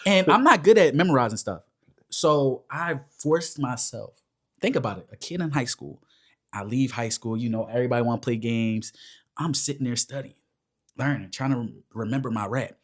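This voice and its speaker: A man's voice: mid-range voice, moderate pace. Thirties guy from philly, easygoing host, lots of mm-hmms. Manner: laid-back, frequent short affirmations. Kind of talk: casual conversation.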